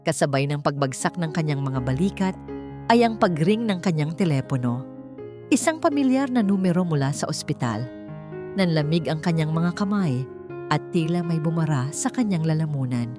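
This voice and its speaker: Low-voiced Filipino woman narrating a story. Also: lively intonation, moderate pace, music in the background. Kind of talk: scripted dramatic story narration.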